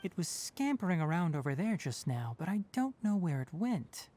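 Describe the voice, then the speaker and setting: A sensible young male forest ranger from a video game, voiced by an actor, with a light, boyish voice and lively intonation. He explains things like a caring, dry-witted mentor, matter-of-fact and calm, with faint noise behind him. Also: mid-range voice, normal speed, natural voice. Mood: cautious, gentle. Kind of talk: video game character acting.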